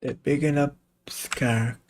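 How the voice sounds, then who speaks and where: A man's voice: medium pitch, steady tone, takes it slowly, a little mellow. A man reacting casually to a video game as a streamer, laid-back and offhand.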